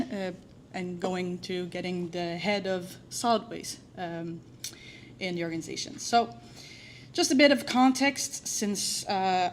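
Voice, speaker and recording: A woman's voice: on the low side, a little hiss or hum. A woman with a French accent, a native French speaker from New Brunswick, giving a conference presentation with lots of "uh" fillers and a friendly, self-deprecating manner. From New Brunswick, Canada. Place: conference room.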